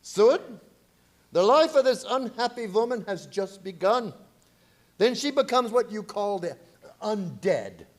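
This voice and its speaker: An older professor and vampire hunter played by a man speaks in a radio horror drama. His voice is pitched high and moves dramatically up and down. He is grave and commanding, with solemn, slightly stilted phrasing. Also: very animated, measured pace. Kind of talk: 1930s-style radio horror drama acting.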